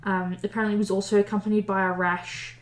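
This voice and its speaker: A woman's voice: medium pitch, flat delivery, quick pace, echoey room. A young woman co-hosting a casual podcast, reading history notes aloud, stumbling over the old wording and poking fun at herself. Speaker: podcast co-host.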